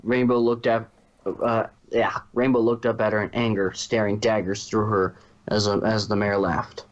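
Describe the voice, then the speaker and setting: A man's voice: on the low side, level tone, normal speed, muffled recording. A man reading a story aloud as its narrator. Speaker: fan fiction reader.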